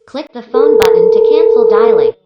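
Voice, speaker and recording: A woman's voice: a little high, expressive, moderate pace, roomy sound, dull audio. A woman giving scripted product tutorial narration in clipped, step-by-step instructions.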